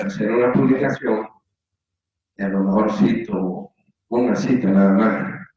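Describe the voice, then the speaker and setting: A man asking a lecturer long questions, hesitant and rambling with many fillers. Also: on the low side. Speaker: audience member asking questions. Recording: distant echoey lo-fi call audio.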